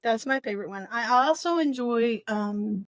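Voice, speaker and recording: A woman's voice: a little high, animated, normal speed, no room sound. A middle-aged woman co-hosting a book podcast, chatting casually and repeating short phrases for emphasis. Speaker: podcast co-host.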